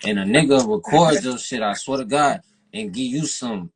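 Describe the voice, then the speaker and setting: A man's voice: mid-range voice, moderate pace, over a noisy crowd, low-quality recording. A young man hosting a radio interview, talking loose and slangy with lots of "you feel me". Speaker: radio show host interviewing an artist. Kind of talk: casual interview chat.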